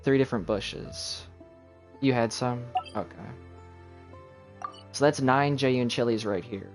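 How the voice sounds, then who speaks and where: A man's voice: mid-range voice, slow, over music. A man livestreaming a game, chatting casually in a rambling, self-deprecating way. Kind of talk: casual chat while gaming on a livestream. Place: voice over game music on a livestream.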